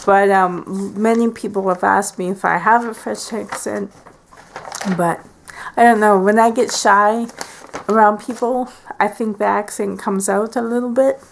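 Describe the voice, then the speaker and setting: Woman, 40s, home vlog. Slow, hesitant, gravelly, lots of fillers. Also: mid-range voice, fairly even intonation, low-quality recording. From Canada. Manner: hesitant, many fillers and restarts. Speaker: beauty vlogger. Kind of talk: casual tag video chat. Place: at home by a window.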